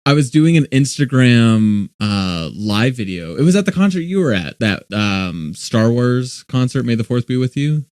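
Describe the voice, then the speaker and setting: Laid-back young man, lively pitch. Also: moderate pace, few pauses, smooth, no room sound, clean studio sound. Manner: laid-back, laughs often, swears casually. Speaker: podcast co-host. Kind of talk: casual livestream chat.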